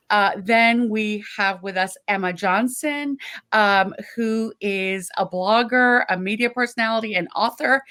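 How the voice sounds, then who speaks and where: A woman's voice: mid-range voice, a bit bright. A woman moderating a panel, introducing speakers in a friendly, slightly hesitant way with frequent ums.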